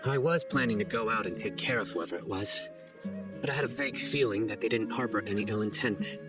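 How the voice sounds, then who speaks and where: A stern young male investigator from a video game, acted by a man, with a low voice and formal, deliberate phrasing. He is guarded and measured, choosing his words with care. Music plays clearly behind him. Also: natural voice. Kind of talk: scripted video game dialogue.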